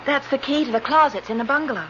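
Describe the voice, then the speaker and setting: An actress playing an anxious, affectionate wife in a radio drama, theatrical and pleading. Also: female voice, sits high, quick pace, fairly bright tone. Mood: light, puzzled. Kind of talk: 1970s radio drama acting. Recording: muffled, noisy old radio recording.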